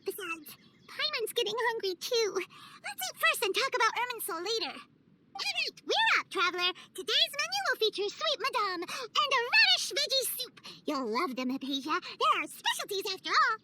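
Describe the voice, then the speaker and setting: A woman voicing a small, excitable, hungry fairy companion in a video game, squeaky and childlike, with perky exclamations. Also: normal speed, heavy background noise. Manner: perky, exclaiming, speaks of herself in third person. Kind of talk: video game cutscene acting. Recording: loud background music. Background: music.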